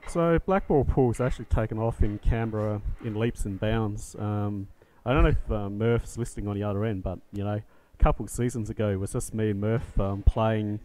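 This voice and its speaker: An Australian man co-commentating a pool match, relaxed and talkative. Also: fairly low pitch, moderate pace, hardly any pauses. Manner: relaxed, talkative, a few fillers. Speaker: co-commentator and league organiser. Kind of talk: live sports commentary.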